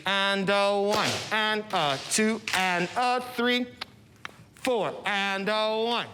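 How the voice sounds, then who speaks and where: A man leads a dance class, counting steps and giving corrections in a slightly high voice. He speaks slowly and deliberately, with audible room noise around him. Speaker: dance teacher. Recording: studio noise in background.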